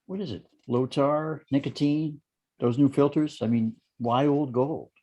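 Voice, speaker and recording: A man playing an inquisitive, smooth ad man in an amateur table read of a TV drama, conversational and probing. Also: mid-range voice, unhurried, warm tone, close to an everyday voice. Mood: curious, casual.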